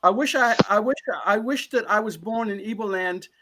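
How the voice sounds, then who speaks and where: A man with a fairly high-pitched voice speaks as a panelist in a live discussion. He is earnest and emphatic, and he circles back over his own phrases as he presses each point home. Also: moderate pace, noisy background.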